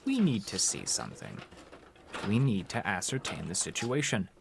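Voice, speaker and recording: An actor playing a clinical, eerily calm interrogator in a horror audio drama, questioning formally, deliberately and insistently. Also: male voice, fairly low pitch, gravelly, a little hiss or hum, heavily acted. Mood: cold, clinical.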